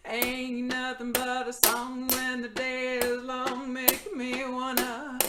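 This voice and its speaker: A woman's voice: sits high, monotone, slow. A woman singing in a musical theatre style, as a performer in a stage musical. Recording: noisy, roomy recording with clicks.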